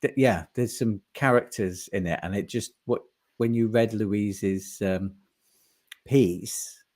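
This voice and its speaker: A man hosting a casual interview, speaking haltingly and searching for his words. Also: on the low side, a little mellow. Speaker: podcast host.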